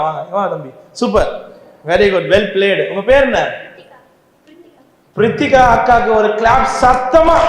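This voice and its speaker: A man hosting a lively children's puppet show, energetically calling out to the children and getting them to shout back. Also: very high voice, background noise. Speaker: children's ministry puppeteer and host. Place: large hall with a live audience of children. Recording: echoey hall with long reverb.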